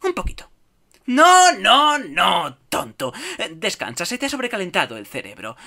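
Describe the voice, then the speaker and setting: A man's voice: sits high, wildly swooping pitch, normal speed, vocal fry, pushed and bright, full character voice. A man voicing a cocky, wisecracking little meerkat in a cartoon dub, with a Castilian Spanish accent. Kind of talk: cartoon fandub audition.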